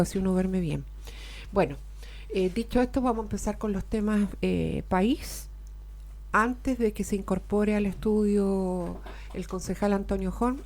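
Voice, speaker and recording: A woman's voice: medium pitch, a little hiss or hum. Chatty Chilean woman radio host. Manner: chatty, warm asides, occasional laughs and filler "eh". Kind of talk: casual radio talk show hosting with sponsor reads. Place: radio studio.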